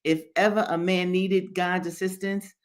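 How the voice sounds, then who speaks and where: A woman's voice: fairly low pitch, level tone, measured pace, voice pushed up, lightly acted. A defiant man worn thin by waiting voiced by a woman reader, bitter and resolute. The speech is formal and emphatic, a rebuke delivered in storybook drama. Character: defiant, embittered enslaved man rejecting religion. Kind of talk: dramatic novel reading.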